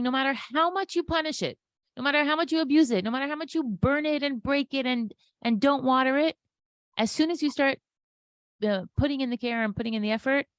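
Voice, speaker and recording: A woman's voice: sits high, no echo. A woman speaking off the cuff in an earnest, emphatic religious inspirational talk, repeating phrases for emphasis. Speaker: interview guest, inspirational speaker.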